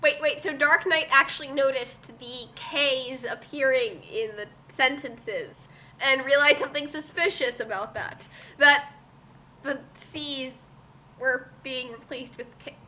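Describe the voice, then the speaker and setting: A woman's voice: high-pitched, unhurried, pushed and bright, low-quality recording. A woman co-hosting a story reading in casual, joking chat, with a questioning, teasing manner. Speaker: co-host of fan fiction reading.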